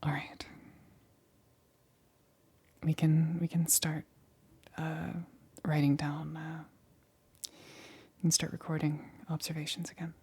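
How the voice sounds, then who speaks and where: A woman playing a nervous, methodical lab assistant in a whispered ASMR roleplay, speaking haltingly in a breathy whisper. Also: deep voice, little pitch movement, warm tone, full character voice. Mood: cautious, clinical. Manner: hesitant, halting, repeats reassurances.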